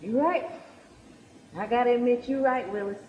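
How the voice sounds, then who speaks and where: A woman's voice: fairly high pitch, moderate pace, lo-fi sound, background noise, close to an everyday voice. An actress in a stage play, playing an emotional, devout middle-aged mother who wants to get right. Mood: moved, proud. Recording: echoey hall, distant mic, loud music.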